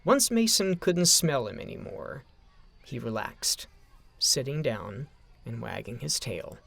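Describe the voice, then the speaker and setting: A man narrating a scripted story in a dramatic, expressive storytelling manner. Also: medium pitch, takes it slowly, vocal fry, no echo.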